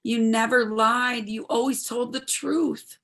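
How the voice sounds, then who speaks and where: A woman's voice: on the high side, steady tone, slow, fairly bright tone. A woman playing an earnest, troubled daughter in her twenties in a stage drama, speaking in a heartfelt, pleading way. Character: earnest, troubled 26-year-old daughter, medical student. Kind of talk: stage play dialogue, audio performance.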